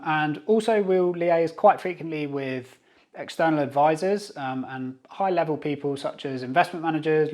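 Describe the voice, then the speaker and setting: Higher-pitched Channel Islands British man presenting. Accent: Jersey, Channel Islands British. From Jersey, Channel Islands. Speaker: YouTube host and company secretary. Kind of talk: scripted explainer to camera.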